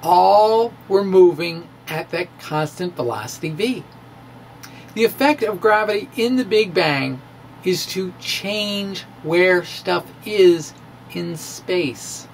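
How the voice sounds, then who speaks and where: A middle-aged man giving a dramatic reading of a science blog, halting and theatrical with wry asides. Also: high voice, lively intonation, vocal fry, a little hiss or hum. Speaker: amateur physics video blogger. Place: small indoor room with bookshelves. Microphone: handheld-style microphone on a stand, close in front.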